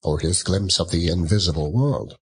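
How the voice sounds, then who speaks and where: A man's voice: low-pitched, normal speed, breathy edge. A man narrating a classic story as a dramatic audiobook reading.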